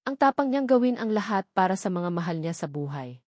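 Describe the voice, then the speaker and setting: Woman reading a charming male boss, measured, intimate, earnest, mid-pitched, dry. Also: very animated, darkish tone, no room sound.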